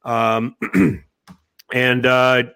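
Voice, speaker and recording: A man in his forties, a podcast host, signing off in a relaxed, chatty way with many fillers. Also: mid-range voice, drawn-out words, clear, resonant tone. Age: 40s. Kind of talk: casual podcast sign-off.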